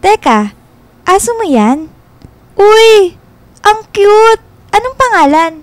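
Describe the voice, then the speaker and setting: A woman playing a friendly, easily delighted young Filipino woman in a radio love drama, expressive and chatty. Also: extremely high pitch, slow pace, dry recording, heavily acted. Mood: bright surprise.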